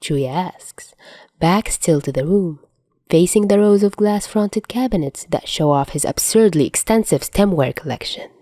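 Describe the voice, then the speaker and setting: A woman reading a story aloud as an audiobook narrator, in an expressive scripted style. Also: on the low side, unhurried, no echo, clean studio sound. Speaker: fan fiction audiobook narrator.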